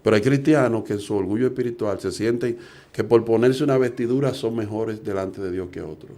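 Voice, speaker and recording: Low, mellow preacher man. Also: fairly quick. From Dominican Republic. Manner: earnest, rhetorical questions, acts out dialogues. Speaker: evangelist preacher. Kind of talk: preaching talk.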